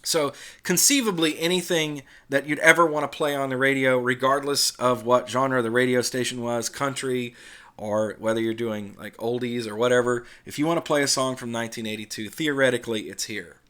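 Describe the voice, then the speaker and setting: A man's voice: medium pitch, steady tone, a little fast, fairly bright tone. Casual middle-aged American man explaining things. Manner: conversational, explanatory. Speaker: video host, former radio worker. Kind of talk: casual unscripted tutorial narration.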